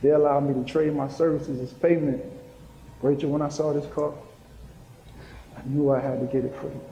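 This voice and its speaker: A man's voice: a little high, level tone, moderate pace, mellow, close to an everyday voice. A man acting the part of a proud, doting middle-aged father in a live stage play. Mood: tender, proud. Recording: echoey hall, stage play picked up live.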